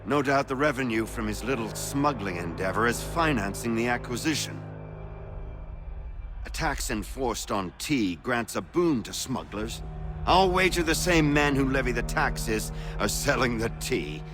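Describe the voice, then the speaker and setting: An actor playing a fervent, persuasive colonial American patriot politician with formal, rhetorical speech in a video game. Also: male voice, mid-range voice, animated, a little slow, lightly acted. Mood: rallying, scheming. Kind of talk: dramatic game acting in period speech. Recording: music behind the voice. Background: music.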